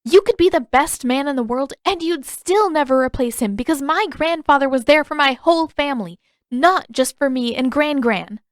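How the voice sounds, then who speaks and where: Female reader as headstrong teen heroine for fantasy storybook: high-pitched, exasperated, emphatic, quick to argue, dry sound. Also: animated, dry recording. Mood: firm, emotional. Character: fiery, indignant, defiant teenage girl waterbender. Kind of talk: audiobook-style fan fiction character reading.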